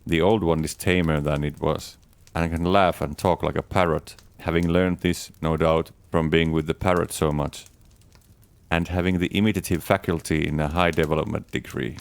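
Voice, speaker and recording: Very deep-voiced man reading a diary. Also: gravelly. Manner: measured, deadpan first-person storytelling. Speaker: audiobook reader. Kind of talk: dramatic reading of a diary as Adam.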